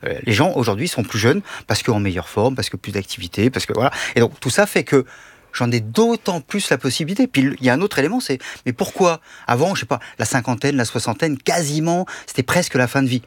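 Fast-talking male guest expert. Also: medium pitch, lively intonation, slightly rough, dry recording. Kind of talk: radio panel discussion.

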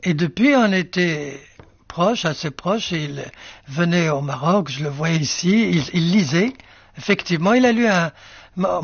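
An older male writer whose first language is Arabic, musing slowly in an interview with many fillers and restarts. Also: a little high, gravelly, husky, no room sound, muffled recording. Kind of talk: reflective interview answers.

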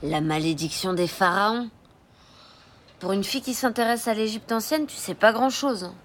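A woman's voice: medium pitch, normal speed, natural voice. An actress playing a sullen, blunt Egyptian boy in an audio drama. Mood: grave.

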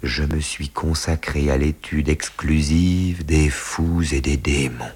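A man voicing a pompous, self-important paternal gentleman who speaks in a formal, deliberate, grandiloquent way. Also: extremely low pitch, steady tone, slightly rough, full character voice. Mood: solemn confession.

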